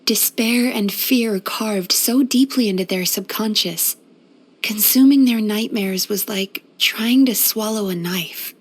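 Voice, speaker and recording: A woman playing a gentle, caring female psychologist in a video game, speaking in a soothing, thoughtful, measured and softly playful manner. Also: mid-range voice, breathy edge. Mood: somber. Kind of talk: scripted video game character voice lines.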